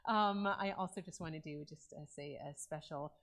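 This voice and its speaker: A woman's voice: low-pitched, moderate pace, fairly bright tone. A woman clergy member giving informal remarks to her congregation during a Shabbat service, talking conversationally with frequent ums. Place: synagogue sanctuary.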